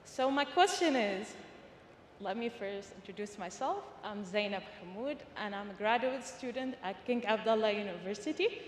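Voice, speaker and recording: A woman's voice: medium pitch, lively intonation, moderate pace, slight background noise. A young woman in her twenties, a graduate student, politely asking a panel a formal question from the audience. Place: conference hall. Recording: echoey hall, faint ambience.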